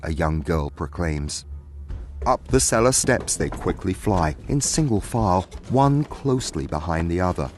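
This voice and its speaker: A man's voice: low-pitched, animated, a little slow, soft background music. A man narrating a scripted fantasy story as its storyteller.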